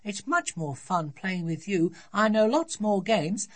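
A woman's voice: mid-range voice, animated, unhurried, pitched above the performer's own voice. A woman voicing a bossy, triumphant little girl in a children's storybook, with emphatic, insistent delivery. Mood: eager, bossy.

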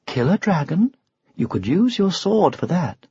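A man voicing a sly, practical woman witch who speaks in a calm, coaxing way, in a storybook. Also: medium pitch, measured pace, breathy edge, no echo, lo-fi sound, voice pushed up, heavily acted. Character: sly, practical female witch, calmly encouraging.

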